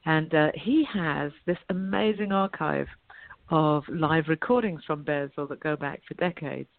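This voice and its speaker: A woman's voice: low voice, normal speed, gravelly, no room sound. British woman on a tinny phone line. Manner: chatty, upbeat, thinking aloud with ums. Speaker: guest, venue owner. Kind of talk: phone-in radio interview.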